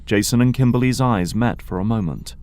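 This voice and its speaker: A man's voice: lowish voice, fairly quick, a little mellow. A man reading scripted narration for an audio drama. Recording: faint background noise.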